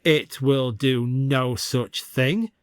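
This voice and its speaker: A man's voice: medium pitch, extremely slow, smooth, dry recording. Guy doing a wry shop owner in an audiobook, persuasive, dry studio sound. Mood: urgent. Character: wry, bargaining male village shopkeeper.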